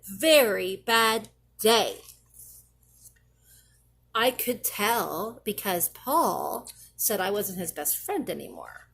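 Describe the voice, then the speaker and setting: A woman reading a picture book aloud to children, theatrically, with drawn-out emphasis. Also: fairly high pitch, a bit bright. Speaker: children's story reader. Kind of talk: expressive read-aloud picture book narration.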